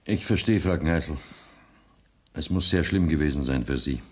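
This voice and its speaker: Senior judge for radio drama: low voice, formal, measured, earnest and conscientious. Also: male voice. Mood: grave sympathy. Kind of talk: radio play acting in standard German.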